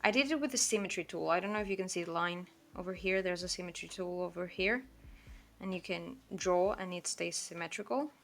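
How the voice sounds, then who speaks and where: A woman from Portugal with a Portuguese accent, speaking English as a non-native language, chatting casually and quickly while she draws on a livestream, full of "like" and self-corrections. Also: fairly low pitch, gravelly, a bit bright. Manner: fast-talking, rambling, with frequent "like" and self-corrections. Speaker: artist streaming a drawing session.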